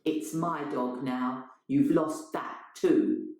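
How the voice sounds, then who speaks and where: A very echoey room carries the voice of a woman reader playing a bossy female theatre director in a short story. She is curt, scornful and fed up, with a soft-edged, mellow tone. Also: a bass voice, steady tone, slow pace. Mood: cold, bitter.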